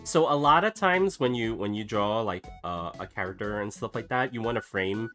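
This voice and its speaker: Rambling man, bright voice, soft music. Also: on the low side, lively intonation, normal speed. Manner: rambling, many fillers and restarts. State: recovering from a cough. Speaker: artist streaming a drawing session. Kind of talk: casual chat while drawing. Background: soft background music.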